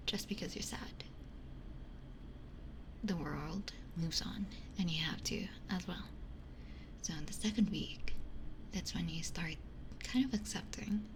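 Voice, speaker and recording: A woman streamer whispering in a breathy, conversational ASMR style, talking reflectively close to a binaural microphone. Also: on the low side. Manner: reflective, conversational, repeats phrases for emphasis. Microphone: close binaural ear microphone.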